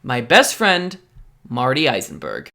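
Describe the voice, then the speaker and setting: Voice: medium pitch, a little slow, creaky, exaggerated voice. A performer playing a sarcastic, insecure teenage boy who narrates his would-be superhero life with wry self-mockery in a comic dub. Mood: amazed. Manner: wry, self-mocking, expressive. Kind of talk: first-person narration and comic dub acting. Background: music.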